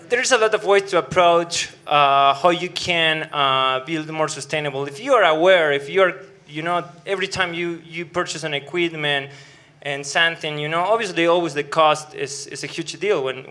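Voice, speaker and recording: A man with an Argentine accent speaking on a brewing panel, halting with many fillers and restarts, mixing in joking asides. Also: on the high side, sharp tone. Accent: Argentine Spanish. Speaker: brewing operations director on a panel. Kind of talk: panel discussion.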